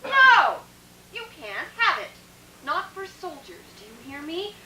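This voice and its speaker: A woman's voice: very high voice, very animated, a little slow, bright, forceful tone, reverberant, exaggerated voice, low-quality recording. An actress playing a principled woman doctor in a radio drama, earnest, emotional and defiant. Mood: anguished, defiant. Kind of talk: old-time radio drama acting.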